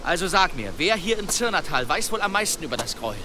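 An actor in a German radio play, playing a shrewd, polite medieval demon hunter with formal, probing speech. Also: male voice, sits high, sing-song, fast. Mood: calm, probing. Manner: courteous, probing, formal phrasing. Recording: noisy outdoor ambience behind voice.

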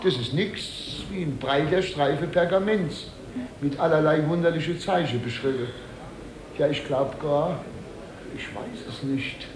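An actor playing a pompous, blustering, superstitious small-town mayor in a 1950s German radio play. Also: male voice, a little high, measured pace, crowd noise, natural voice, rough recording. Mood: indignant, alarmed. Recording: 1950s radio recording from cassette.

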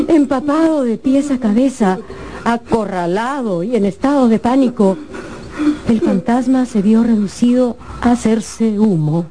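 A woman narrating a radio drama as a scripted story, with theatrical, deliberate phrasing. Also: mid-range voice, noisy background. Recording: music behind.

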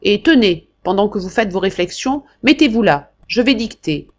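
A woman reading an audiobook, voicing an irascible old marquis who shouts and orders his secretary about. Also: medium pitch, lively intonation, moderate pace, dull audio, voice pushed up, close to an everyday voice. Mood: angry, imperious. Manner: blustering, imperious, raised voice. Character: irascible old male marquis, tyrannical employer.

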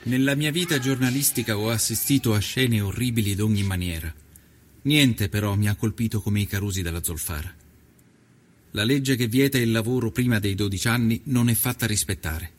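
An actor playing an earnest nineteenth-century Italian investigative journalist, narrating his reportage in measured, literary first person for a documentary. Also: lowish voice, lightly acted. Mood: indignant.

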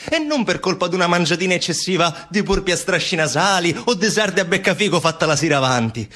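A fairly high-voiced man with a Sicilian accent narrates a literary story. His delivery is dramatic and thick with dialect, moving widely up and down in pitch. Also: a bit bright.

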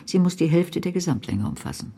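An actress playing a strict lecturer who recites formulaic rules in a radio drama. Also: female voice, fairly low pitch, lively intonation, brisk. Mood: prescriptive. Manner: prescriptive, formulaic. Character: female script-rule lecturer, strict and formulaic.

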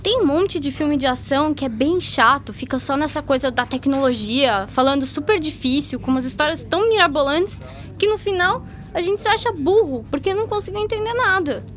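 A woman's voice: high voice, lively intonation, a little fast, a bit bright, a little hiss or hum. An actress playing a chatty, curious schoolgirl with a Brazilian accent in a radio drama.

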